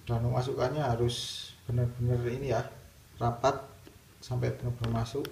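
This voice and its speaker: A man's voice: mid-range voice, little pitch movement, normal speed, darkish tone. A man narrating a casual hands-on tutorial, explaining it step by step as the host. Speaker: video host demonstrating product installation. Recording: roomy, distant mic, clear background noise.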